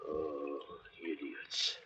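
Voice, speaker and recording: An actor playing a threatening, suspicious and hot-tempered convict in an old-time radio drama, with theatrical delivery. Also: male voice, extremely low pitch, monotone, very slow, bright, forceful tone, slightly rough, heavily acted. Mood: bitter. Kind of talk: 1940s-50s radio drama acting. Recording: dull, hazy old radio recording.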